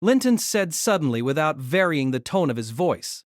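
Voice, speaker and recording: A man's voice: a little high, sing-song, normal speed, no room sound, clean studio sound. A man narrating a fantasy novel as a dramatic, scripted audio drama.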